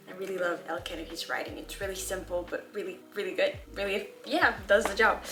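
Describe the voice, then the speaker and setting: A woman's voice: mid-range voice, reverberant. A young woman who is not a native English speaker, chatting candidly to camera as a book vlogger, with many fillers. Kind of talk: casual chat to camera. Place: room at home.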